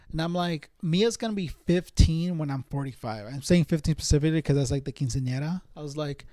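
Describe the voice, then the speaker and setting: A man's voice: fairly high pitch, dark tone, dry recording. A man around thirty talking casually to viewers as a streamer, in a rambling, slangy way that often circles back and repeats. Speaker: live streamer chatting with viewers. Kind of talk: casual chat.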